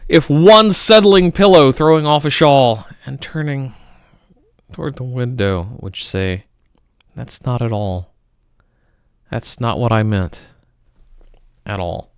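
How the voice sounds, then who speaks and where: A man giving a theatrical, melodramatic poetry reading, swinging between loud outbursts and hushed murmurs. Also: mid-range voice, slow pace, pauses often, clear, resonant tone, no room sound, studio quality. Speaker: poetry reader.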